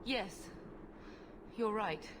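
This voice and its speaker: Casting: anxious middle-aged mother, mid pitch, game cutscene with noisy ambience. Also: drawn-out words. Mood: pleading. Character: worried, weary middle-aged mother, fleeing refugee. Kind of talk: video game cutscene acting.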